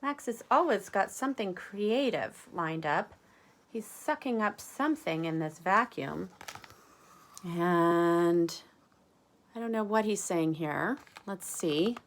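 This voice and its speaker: A woman reads a picture book in a fairly low voice with very animated intonation. She takes her time, pausing for chatty asides and questions to her young listeners. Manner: expressive, with questions and exclamations to the listener. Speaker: read-aloud storyteller.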